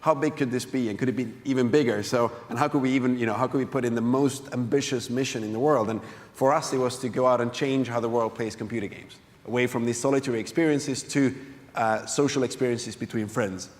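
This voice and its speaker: Quick-talking man from Finland, game entrepreneur, onstage answers, echoing hall. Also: medium pitch, fairly even intonation. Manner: fast, earnest, many restarts. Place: conference hall stage. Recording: long hall reverb.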